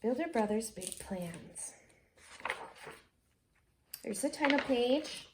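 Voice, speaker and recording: A woman with a high voice reads aloud as a teacher to children. She takes her time, is expressive, and lands key words deliberately. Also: noisy background. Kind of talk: read-aloud lesson for children.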